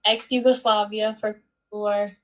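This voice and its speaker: A woman in her twenties, born in Bosnia and Herzegovina, answering interview questions in an unhurried way with many pauses and fillers. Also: medium pitch, little pitch movement. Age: 20s. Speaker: guest, student athlete.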